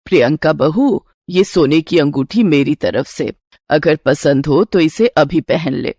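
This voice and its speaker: Woman voicing a calm, low-pitched older mother-in-law. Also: a little slow, no echo, clean studio sound, exaggerated voice. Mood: kindly, explaining. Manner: calm, confident, affectionate. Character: resourceful, reassuring older mother-in-law in a Hindi moral tale. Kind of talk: cartoon storybook character voice.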